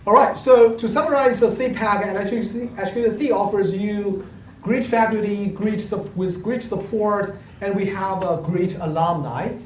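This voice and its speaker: A man's voice: high-pitched, a little slow. Man, faculty presenter, hesitant delivery, distant in a live hall. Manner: hesitant, many fillers and restarts. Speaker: program speaker at a university info day. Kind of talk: presenting slides to prospective students. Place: lecture hall. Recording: roomy hall, distant mic, faint hum.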